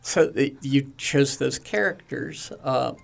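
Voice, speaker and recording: A man interviewing young comic book creators, speaking haltingly with many fillers and restarts. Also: medium pitch, gravelly. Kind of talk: radio interview.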